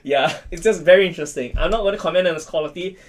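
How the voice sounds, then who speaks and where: A man's voice: a little high, racing, slight background noise. A man chatting casually as a podcast guest, rambling and repeating himself, often tagging his phrases with "right". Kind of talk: casual chat.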